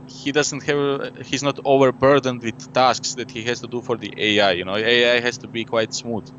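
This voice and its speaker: A man's voice: medium pitch, fairly even intonation, normal speed, fairly bright tone. A man who designs board games, a French speaker from his youth on, explaining his game at length in a casual interview, full of fillers and "you know". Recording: dull lo-fi audio with faint noise.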